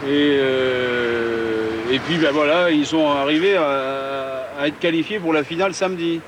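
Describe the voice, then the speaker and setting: A man's voice: on the high side, unhurried. A man from Belgium answering questions in a street interview, friendly and hesitant with fillers. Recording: outdoor traffic noise.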